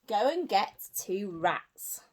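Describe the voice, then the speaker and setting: Woman playing fairy godmother, children's storybook, kindly but bossy, unhurried, clear firm orders. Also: mid-range voice, very animated, darkish tone. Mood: commanding. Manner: clear, commanding instructions.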